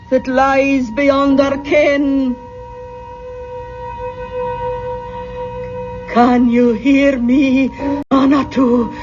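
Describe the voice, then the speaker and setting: An actress playing a kindly, anxious middle-aged spirit medium aunt with a Scottish accent in an old-time radio drama. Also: female voice, on the high side. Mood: solemn, invoking. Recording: muffled old radio, music underneath.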